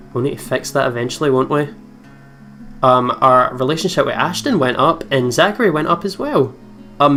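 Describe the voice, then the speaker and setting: Let's player over soft guitar music. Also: mid-range voice. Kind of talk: reading game narration with casual commentary. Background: soft guitar game music.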